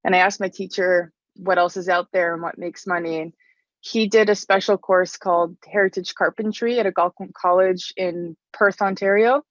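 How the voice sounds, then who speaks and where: A woman's voice: fairly low pitch, steady tone, moderate pace, dry recording, muffled recording. A young woman from Canada, talking casually about her trade in an interview, full of "like" fillers. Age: young adult. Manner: chatty, frequent "like" fillers. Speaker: interview guest, stone mason.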